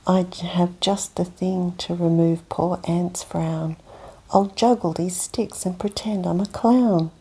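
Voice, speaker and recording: A woman voicing a playful beetle who loves doing tricks, in a soft whisper. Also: lowish voice, fairly even intonation, takes it slowly, husky, exaggerated voice, rough recording. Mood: eager. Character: cheerful playful beetle clown.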